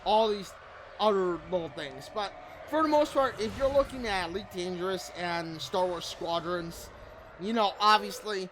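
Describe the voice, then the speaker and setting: A man's voice: high voice, normal speed, noisy background. A man giving a casual, rambling game review, often circling back to repeat his points. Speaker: gaming video creator. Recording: music behind the voice. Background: background music.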